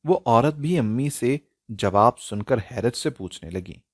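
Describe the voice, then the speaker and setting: A man reads a scripted dramatic story. His delivery is expressive and emotional, with animated pitch movement. The recording is dry and close. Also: measured pace, no room sound. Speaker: story narrator.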